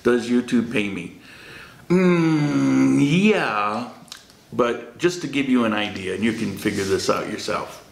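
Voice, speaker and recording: A man's voice: medium pitch, slow, gravelly. A middle-aged man hosting a casual question-and-answer vlog, joking and laughing often. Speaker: vlog host. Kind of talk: casual Q&A chat. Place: small warm-lit room indoors. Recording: roomy echo.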